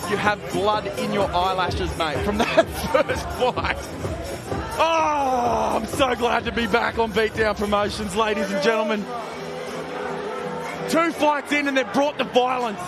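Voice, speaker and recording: Guy calling a cage fight live, really high voice, yelling over loud crowd and music. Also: measured pace, creaky. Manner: excitable, often shouting. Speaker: play-by-play commentator.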